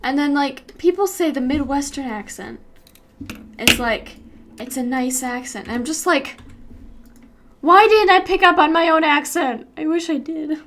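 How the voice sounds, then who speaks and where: A woman's voice: high-pitched, unhurried, fairly bright tone, slight background noise. A young American woman chatting casually and rambling while she works on an animation, with lots of giggles. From United States. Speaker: YouTuber animating while streaming. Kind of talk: casual chat while working.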